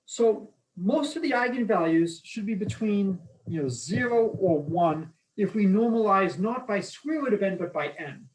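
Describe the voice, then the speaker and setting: A man's voice: high voice, animated, moderate pace, few pauses, low-quality recording. A man with a Boston accent giving an academic math lecture, explaining slides in an informal, enthusiastic way with casual asides. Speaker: mathematics lecturer.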